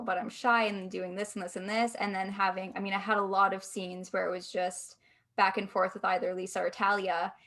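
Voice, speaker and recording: A woman's voice: mid-range voice, a bit bright. A woman who acts in an audio drama, reflecting informally on her work in a group chat.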